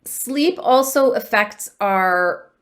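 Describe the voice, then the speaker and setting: A woman's voice: fairly high pitch, animated, drawn-out words, fairly bright tone. A woman in her forties, a dating coach, talking earnestly and upbeat to camera with frequent ums as she gives advice. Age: 40s. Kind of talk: solo advice talk to camera.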